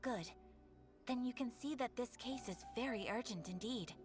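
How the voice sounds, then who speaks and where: A woman's voice: on the high side, moderate pace, over loud music, lightly acted. A woman voicing a poised, polite guild receptionist in a video game, speaking formally. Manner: formal and courteous.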